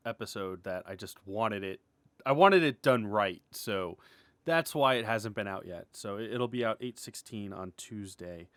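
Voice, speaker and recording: Casual, halting man in a dry room. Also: fairly low pitch, fairly bright tone, dry recording. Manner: rambling, halting, self-interrupting, often chuckling and clearing throat; informal, mildly sweary, candid. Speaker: animator and web series creator. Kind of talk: casual update vlog, unscripted.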